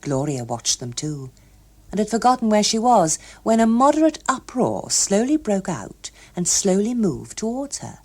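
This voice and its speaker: A woman's voice: mid-range voice, sing-song, moderate pace, a little mellow, husky. A woman with an English accent reading a story expressively as an audiobook narrator.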